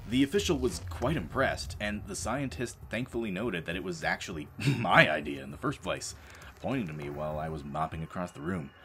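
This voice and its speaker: A man acting a world-weary, deadpan space marine reduced to janitor, telling his story as dramatic audio drama narration. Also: sits low, brisk, a little hiss or hum, exaggerated voice. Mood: dry, explanatory. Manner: sardonic, deadpan, brooding. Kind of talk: dramatic first-person scripted narration.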